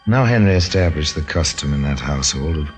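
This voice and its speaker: An actor as a wry, knowing narrator telling a mystery story on old-time radio. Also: male voice, extremely low pitch, lively intonation, fairly quick, music in the background. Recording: muffled old radio recording.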